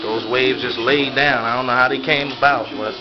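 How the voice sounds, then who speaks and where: A man's voice: mid-range voice, steady tone, moderate pace, crowd noise. A man giving slangy, casual running commentary on haircuts as he films in a barbershop. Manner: slangy hype talk. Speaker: host filming barbers at work. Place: busy indoor barbershop. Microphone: handheld camera mic. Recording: muffled camera sound, loud music and TV.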